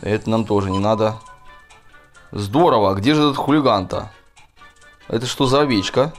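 A man's voice: lowish voice, moderate pace. A man giving casual, chatty gameplay commentary for children, often addressing his viewers directly. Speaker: gaming video host. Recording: game music behind the voice.

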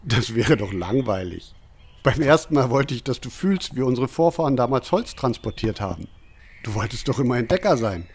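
A hearty woodcutter father acted by a man in a fantasy radio play. He is firm, proud and matter-of-fact, with a medium-pitched voice. Faint noise and clicks sit in the recording. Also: expressive, normal speed, darkish tone, close to an everyday voice. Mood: proud, teasing.

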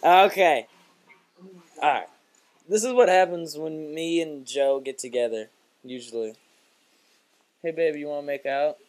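A man's voice: a little high, unhurried, long pauses. A young man joking crudely and casually with friends, recorded on a laptop microphone on a couch. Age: young adult. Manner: crude, profane banter. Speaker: home video maker among friends. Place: small lamp-lit basement living room, on a couch. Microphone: laptop's built-in microphone at arm's length.

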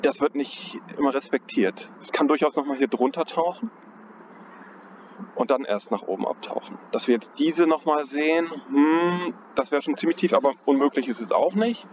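A man's voice: a little high, normal speed. Man musing over charts outdoors, lo-fi. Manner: thinking aloud, hesitant, self-correcting, chuckles at himself. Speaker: trading analyst. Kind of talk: casual chart analysis commentary. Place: outdoors on a cold day. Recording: dull lo-fi audio with outdoor noise.

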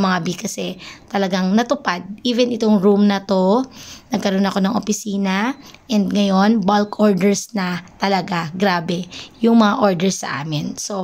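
A woman's voice: mid-range voice, gravelly. Earnest Filipino woman narrating a vlog. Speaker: small business owner and vlogger. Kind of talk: personal vlog voice-over.

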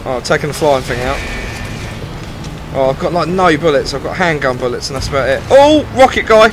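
A British man doing casual, excitable let's play commentary, often repeating himself, with a video game behind him. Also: mid-range voice, fairly quick. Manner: excitable, repetitive exclamations. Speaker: gaming commentator. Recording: loud game music and machinery behind. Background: video game music and action sounds.

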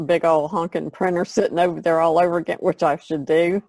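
Female podcast panelist, artist, gravelly creak, dry sound, casual talk. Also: fairly low pitch, steady tone, fairly quick, darkish tone, no echo. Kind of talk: casual chat.

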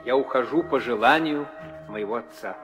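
Noble young prince played by an actor, solemn. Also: male voice, mid-range voice, normal speed, music in the background, natural voice. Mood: solemn resolve. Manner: solemn, declamatory. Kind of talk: 1960 theatrical audio drama acting. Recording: old radio play, hall reverb.

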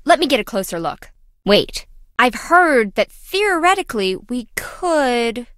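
An urgent young tech expert. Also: female voice, fairly high pitch, sing-song, a little slow, a bit bright, clear, resonant tone, no echo, studio quality. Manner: urgent, focused. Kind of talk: video game voice acting.

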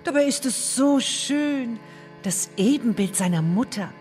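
A woman's voice: a little high, animated, a little slow, a little mellow, faint music behind. An actress playing a concerned, gossiping woman of the royal court in a fairy-tale audio play. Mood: worried.

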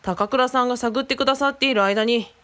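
A woman's voice: a little high, quick pace, fairly bright tone, slight background noise, natural voice. A woman playing an eager, friendly young man in a Japanese radio drama, speaking in a casual, enthusiastic, boyish way. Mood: focused, polite.

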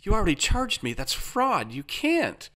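A man's voice: mid-range voice, sing-song, normal speed, fairly bright tone, pitched above the performer's own voice. A man acting an exasperated, protesting everyman. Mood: distressed. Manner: hesitant, protesting. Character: perplexed, exasperated ordinary man protesting helplessly.

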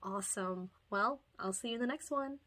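A woman's voice: mid-range voice, moderate pace, fairly bright tone. A young woman hosting a channel, talking casually and in an upbeat, friendly way to her viewers. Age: young adult. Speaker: channel host. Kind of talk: casual video intro and outro. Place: bedroom.

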